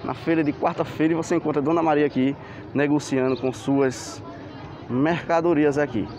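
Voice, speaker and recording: An excited man walks through an open-air market, filming as he goes and pointing at each stall. His voice sits fairly high for a man, and a crowd murmurs steadily around him through the camera mic. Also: normal speed. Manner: enthusiastic, exclaims "que maravilha", points things out. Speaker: vlogger touring a street market. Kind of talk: walking market tour vlog. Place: busy outdoor street market. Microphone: handheld camera mic, filming while walking. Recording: crowd noise behind.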